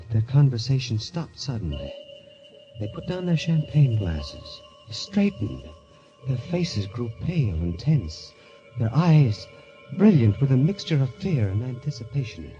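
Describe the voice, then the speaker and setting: A man's voice: mid-range voice, mellow. An actor playing an uneasy, polite man recounting his entry into a sinister world, in grave 1940s radio style. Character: uneasy, hesitant man drawn into a death club, narrating. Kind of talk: 1940s radio acting, first-person mystery narration. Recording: dull, lo-fi vintage radio recording.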